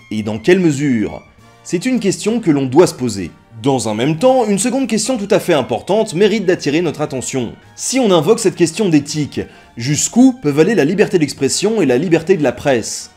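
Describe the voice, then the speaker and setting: A man narrates a documentary in a measured, questioning tone. His voice is mellow and fairly high, with lively rises and falls. Soft music sits faintly behind him. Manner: measured, poses rhetorical questions.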